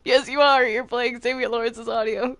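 A woman's voice: on the high side, steady tone, moderate pace, a bit bright. A woman chatting casually while gaming in a roleplay server.

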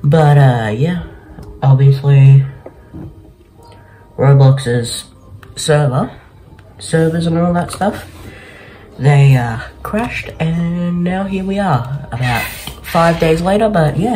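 A man's voice: on the high side, darkish tone, low-quality recording. A young man hosting a gaming video with casual gameplay commentary, speaking into a desk mic in a bedroom. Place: small bedroom, indoors.

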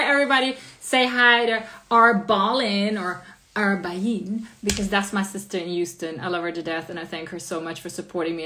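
A bright, clear-toned woman in her thirties, raised in the Netherlands but with a slight American accent. She chats casually and warmly to her live-stream audience, upbeat and talkative as she answers their questions. She is recorded on a phone at arm's length in a small room. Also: medium pitch. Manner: chatty, upbeat, reads out viewer comments. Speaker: live-stream interview host. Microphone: phone camera at arm's length.

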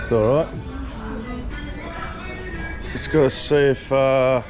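A middle-aged man talking casually to a handheld camera, exclaiming "whoa" and "far out" as he walks, with loud music behind him. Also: medium pitch. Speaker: travel vlogger. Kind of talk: casual vlog narration. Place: outdoors at night and in a rooftop bar. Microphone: action camera held at arm's length.

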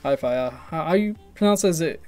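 Young guy on a prank call, smooth clean voice, kinda rambly, bit of music behind. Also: on the high side, animated, fast talker, dark tone. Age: 20s. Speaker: prank caller, YouTube video host. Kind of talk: casual phone prank call. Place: small room at home, indoors.

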